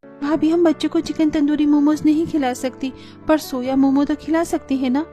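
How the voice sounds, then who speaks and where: A woman playing a gentle, caring young woman of a poor family in an earnest cartoon style. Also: sits high, little pitch movement, moderate pace, close to an everyday voice. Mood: earnest, pleading. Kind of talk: cartoon dialogue acting. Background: background music.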